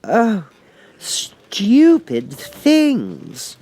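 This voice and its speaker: A curious, opinionated little girl from a storybook, voiced by a man. The voice is high and lilting, precise and a little proud as she wonders aloud. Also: wildly swooping pitch, drawn-out words, dark tone, heavily acted. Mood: indignant.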